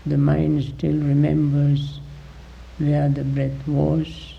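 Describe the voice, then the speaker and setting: A man with a gravelly voice gives guided meditation instruction as a teacher, deliberately, in short phrases with long pauses, on a dull recording. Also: a little high, completely flat delivery, a little hiss or hum.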